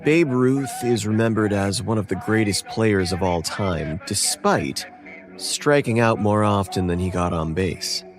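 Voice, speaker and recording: An actor playing an earnest, determined young baseball player, narrating his own story in a conversational, deliberate way for an audio drama. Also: lowish voice, expressive. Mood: reflective, admiring. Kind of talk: scripted first-person audio drama narration. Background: music.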